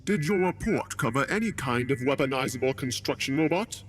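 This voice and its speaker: A man's voice: medium pitch, lively intonation, fairly quick, close to an everyday voice. A man playing an indignant, skeptical alien council official in a science fiction audio drama, accusing and sarcastic.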